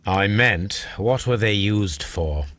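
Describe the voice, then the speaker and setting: An actor playing a brilliant, aloof gentleman detective in a video game, with a British accent and a precise, measured, analytical delivery. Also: lowish voice, expressive, dry recording. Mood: probing. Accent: British English. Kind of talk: video game character acting.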